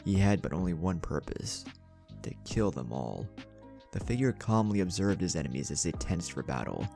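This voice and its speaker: A man's voice: sits low, big pitch swings, moderate pace, vocal fry, warm tone, soft background music. A man narrating a scripted action story in a dramatic storytelling style.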